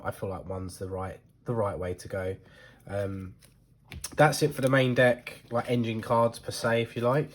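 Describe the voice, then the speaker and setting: A lower-voiced man, nasal and snuffly from a head cold. He talks casually and haltingly, stopping often on ums and restarting his sentences. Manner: hesitant, full of ums and restarts. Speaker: card game deck reviewer. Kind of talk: casual talk to camera.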